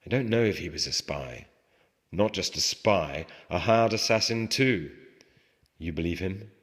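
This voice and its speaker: A man's voice: sits low, measured pace. A man reading aloud the part of an uneasy young British officer in a novel, terse and guarded, in a reverberant space. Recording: reverberant hall with long echo.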